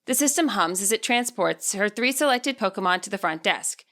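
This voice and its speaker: A woman reading expressive scripted narration as the author and narrator of an audiobook. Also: mid-range voice, normal speed.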